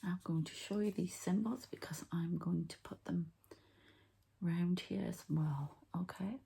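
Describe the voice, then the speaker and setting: A woman whispering gently and breathily as she hosts an unhurried, hushed ASMR energy-healing session. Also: fairly low pitch, gravelly, slight background noise. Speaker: ASMR host and energy healer.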